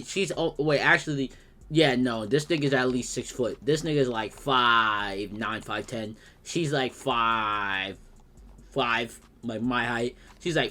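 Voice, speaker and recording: Bright-voiced man, profane reaction commentary. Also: mid-range voice. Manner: blunt, profane, rambling asides. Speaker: reaction streamer.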